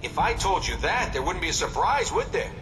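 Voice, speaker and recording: An unhinged covert agent for a video game, full of cartoonish bravado. His high voice leaps around wildly between menace and childlike glee. It sounds a little distant, with music behind it. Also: sing-song, fairly quick. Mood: playful menace.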